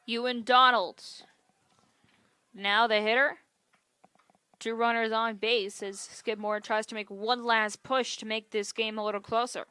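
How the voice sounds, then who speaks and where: A woman's voice: a little high, level tone, unhurried, pushed and bright, dry recording. A woman giving live play-by-play commentary as a sports announcer. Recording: clear gymnasium and ballpark ambient noise.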